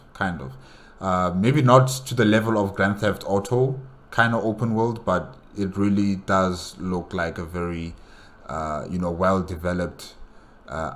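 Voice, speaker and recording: A man hosting a reaction video, talking casually with lots of fillers and repeated words. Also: sits low. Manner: rambling, many fillers and repeats.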